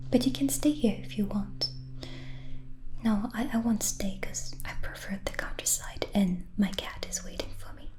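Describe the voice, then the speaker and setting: A woman playing a gentle, hospitable witch in an ASMR roleplay, speaking in a breathy, soothing whisper. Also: mid-range voice, natural voice. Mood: cozy, welcoming. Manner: slow, deliberate, soothing. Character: gentle, hospitable witch hosting a guest overnight. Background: music.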